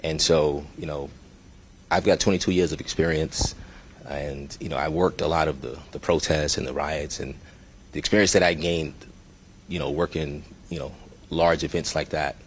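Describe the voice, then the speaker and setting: A man in his forties, a police chief answering press questions in a measured, hesitant way with many fillers. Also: very deep voice, steady tone, a little hiss or hum.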